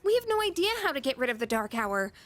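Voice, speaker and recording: A woman's voice: high-pitched, big pitch swings, quick pace. A woman playing an earnest, troubled teenage schoolgirl in an anime-style game dub. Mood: anxious, disbelieving. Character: troubled, earnest teenage schoolgirl fighter, daughter of a late scientist.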